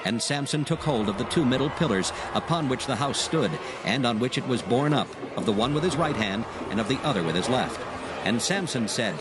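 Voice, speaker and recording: Expressive solemn male scripture narrator. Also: medium pitch, heavy background noise. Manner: solemn, measured storytelling.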